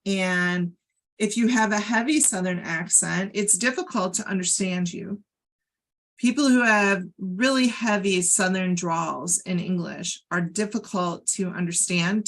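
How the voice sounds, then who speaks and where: A woman with a General American accent, talking in a measured, explanatory way as a co-host in a casual podcast conversation. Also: mid-range voice, fairly bright tone. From United States, Ohio.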